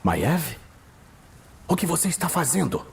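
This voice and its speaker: A man playing a weary, earnest male archmage, speaking in a hushed, measured, urgent way in a fantasy audio drama. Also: a little high, very animated, vocal fry, breathy edge, pitched above the performer's own voice, full character voice. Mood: urgent pleading. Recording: music bed behind voice.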